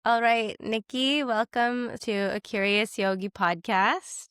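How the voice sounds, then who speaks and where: A woman from Canada hosting a podcast, enthusiastic and gushing, her voice a bit raspy from a cold. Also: a little high, slow pace, fairly bright tone, dry recording, clean studio sound. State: getting over a cold, raspy. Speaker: podcast host. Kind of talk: podcast intro and interview. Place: home in Sweden.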